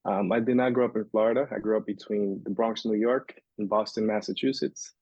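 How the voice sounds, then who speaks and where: A man from the Dominican Republic tells his story as a podcast guest, loose and rambling, full of restarts and fillers. His voice is husky. It comes through dry and a little dull, like a modest home setup. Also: lowish voice, steady tone, brisk, darkish tone, no echo. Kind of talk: casual interview storytelling.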